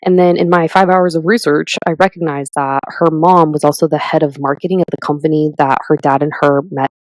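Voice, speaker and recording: A woman in her thirties co-hosting a podcast in casual chat, animated and chatty, with lots of "like" and "literally". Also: low-pitched, brisk, no echo, rough recording. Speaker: podcast co-host.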